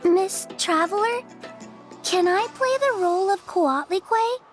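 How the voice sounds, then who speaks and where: A shy little girl from a video game, with a tiny, very high voice. The speech is slow, polite and hesitant. Soft music sits underneath. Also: lively intonation.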